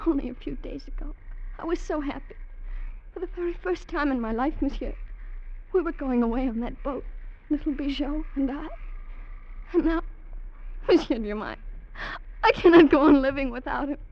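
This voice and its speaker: A woman's voice: high voice, moderate pace, long pauses. A woman playing a desperate, devoted young lover in a 1940s radio melodrama, pleading with theatrical urgency. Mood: anguished. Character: desperate, devoted young Frenchwoman in love with a convict. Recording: dull vintage radio recording.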